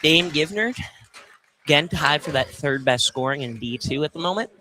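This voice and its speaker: A man doing live play-by-play basketball commentary on the radio, speaking off the cuff and somewhat haltingly. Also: a little high, expressive, background noise.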